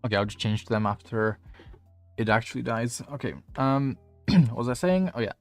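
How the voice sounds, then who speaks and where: A man's voice: mid-range voice, lively intonation, moderate pace, faint music behind. A man with a Polish accent, coaching as he reviews gameplay footage in a casual, rambling way, full of fillers and the odd swear word. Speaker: game coach.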